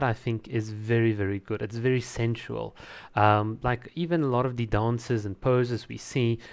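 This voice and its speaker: A man with a mid-pitched voice presents a trailer review as a casual host. He is conversational and opinionated, and he talks with easy enthusiasm. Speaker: host of a video channel reviewing game trailers. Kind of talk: casual reaction commentary.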